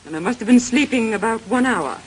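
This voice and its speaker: A woman's voice: a little high, animated, brisk, close to an everyday voice. Actress as a haughty woman with swooping voice. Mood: curt. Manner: curt, haughty. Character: haughty, skeptical married woman, curt and dismissive. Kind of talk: 1930s radio mystery acting. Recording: noisy vintage radio transcription.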